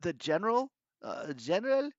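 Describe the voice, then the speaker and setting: A man voicing an anxious, quick-witted teenage boy in a storybook reading, urgent and questioning. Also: sits high, very animated, dry recording, dull audio, pitched above the performer's own voice, exaggerated voice. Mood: probing.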